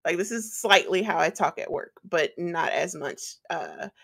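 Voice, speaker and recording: A talkative woman co-hosting a podcast, with a slight rasp that comes and goes and a pitch that climbs when she gets excited. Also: moderate pace. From partly the US Midwest and Atlanta. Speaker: podcast co-host and streamer. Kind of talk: casual podcast chat.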